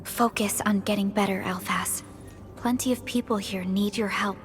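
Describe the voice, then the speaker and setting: A woman voicing a calm, formal young company supervisor in a video game. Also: mid-range voice, moderate pace, lightly acted. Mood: reassuring. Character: composed young female industrial company supervisor. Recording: faint music behind the voice.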